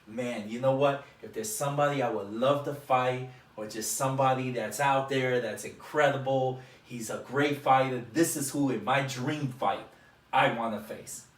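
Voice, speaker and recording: A man's voice: medium pitch, fairly even intonation, normal speed. Male boxing interviewer, echoey and off-mic. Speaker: boxing show host and interviewer. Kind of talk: casual sports interview. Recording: echoey room, distant mic.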